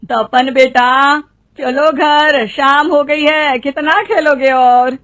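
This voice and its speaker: A woman voicing an anxious, pleading village mother in a cartoon story, expressive and drawn-out. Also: sits high, dry recording, studio quality. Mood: calling anxiously.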